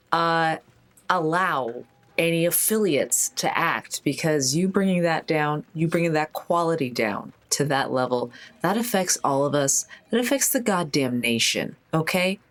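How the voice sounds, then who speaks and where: Blustering, officious government agent played by a woman. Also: low-pitched. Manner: emphatic, officious. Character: blustering, indignant government agent pulling rank.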